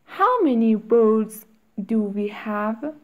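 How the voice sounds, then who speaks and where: Very slow, higher-voiced woman reading drills. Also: lively intonation, phone-quality sound. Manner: reads each short phrase slowly with long pauses. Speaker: English teacher. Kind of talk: slow read-aloud drill for learners.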